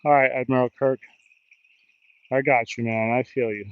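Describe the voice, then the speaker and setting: A man's voice: mid-range voice, level tone, moderate pace, clean tone, dry recording. Man with American accent, dull lo-fi sound. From New Jersey, United States. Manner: rambling, self-deprecating, joking. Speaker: fishing live streamer. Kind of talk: casual live stream chat. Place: outdoors in a river in a park.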